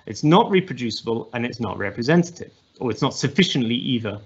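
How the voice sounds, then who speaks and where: A man's voice: medium pitch, lively intonation, fast talker, husky, muffled recording. A man in his thirties with an English accent, giving an academic presentation over a video call, thoughtful, with frequent restarts and fillers. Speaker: webinar speaker.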